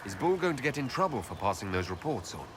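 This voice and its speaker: An inquisitive male elf hero of a fantasy game, voiced by a man speaks quickly with animated intonation. He is polite but direct. The background is clearly noisy. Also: medium pitch, close to an everyday voice. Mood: curious. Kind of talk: video game cutscene acting. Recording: game capture with loud ambient background noise.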